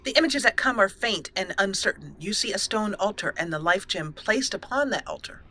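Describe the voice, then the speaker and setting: A woman narrates her video game playthrough like a story, at a measured pace. Her voice is bright and clear. A faint hiss of noise sits under it. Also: medium pitch, expressive. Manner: measured storytelling, with an occasional chuckle. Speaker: let's play host.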